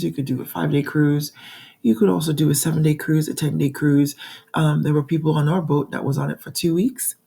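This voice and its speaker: A woman in her forties hosts her own vlog, hoarse from a cold, with a gravelly, husky, mellow voice. She talks straight to her viewers in a chatty way, with plenty of ums. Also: sits low. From New York. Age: 40s. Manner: chatty, addresses viewers directly, frequent ums. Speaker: budgeting channel host. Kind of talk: casual talking-to-camera vlog.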